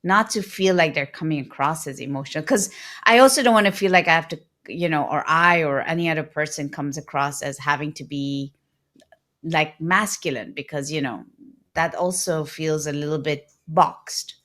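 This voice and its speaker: A woman's voice: sits low, normal speed, fairly bright tone. A middle-aged woman hosting an interview, asking reflective questions with hesitant restarts. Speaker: podcast host. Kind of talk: conversational interview.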